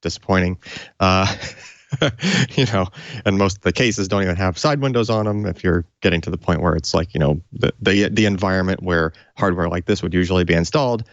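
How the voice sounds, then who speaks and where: A man co-hosting a tech podcast, chatting casually and haltingly, repeating words and leaning on filler phrases. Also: deep voice, dry recording, muffled recording. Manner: halting, repeats words, peppered with "you know". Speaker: podcast co-host.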